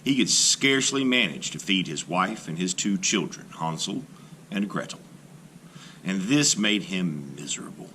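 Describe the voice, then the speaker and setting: Deep-voiced man reading a folktale aloud. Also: unhurried, a little hiss or hum. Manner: expressive, animated storytelling. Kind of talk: storybook read-aloud.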